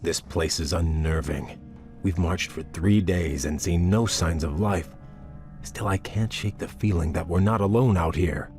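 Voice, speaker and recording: A deep, noble elven prince speaks in formal, commanding tones, earnest and proud. It is fantasy game cutscene acting with prominent music underneath. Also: male voice, unhurried, darkish tone. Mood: pensive, grave.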